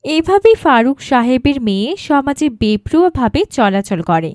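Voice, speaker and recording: A woman's voice: sits high, very animated, normal speed, a little mellow, dry recording, studio quality. A woman reading scripted narration for a cartoon story.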